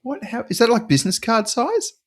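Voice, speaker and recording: A man's voice: very high-pitched, very animated, brisk. A man chatting casually as a podcast co-host, easygoing and joking along. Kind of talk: casual banter.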